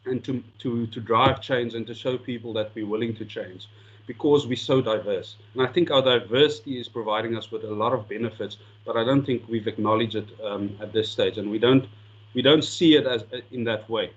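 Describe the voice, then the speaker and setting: A man's voice: mid-range voice, moderate pace, breathy edge, lo-fi sound. A South African man whose first language is Afrikaans, giving an earnest coaching talk with slides and often repeating words as he restarts sentences. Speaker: sport coaching lecturer.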